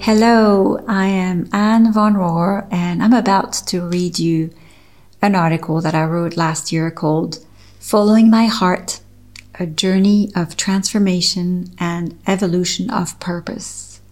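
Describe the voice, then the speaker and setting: A middle-aged woman with a French accent, a French native speaker from Switzerland, reading her own personal essay aloud in a slow, measured, earnest way. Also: on the low side, fairly even intonation, darkish tone. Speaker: author reading her article.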